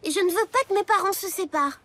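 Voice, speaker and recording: A woman voicing an earnest, anxious young girl in an animated cartoon, speaking expressively. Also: extremely high pitch, fast, no echo. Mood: determined. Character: earnest, anxious young girl scheming to keep parents together.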